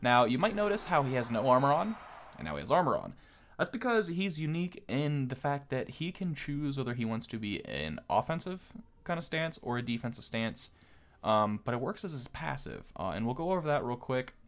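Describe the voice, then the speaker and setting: A man's voice: mid-range voice, very animated, fairly quick, fairly bright tone. A man giving casual game commentary as a video host, explaining things in a conversational way.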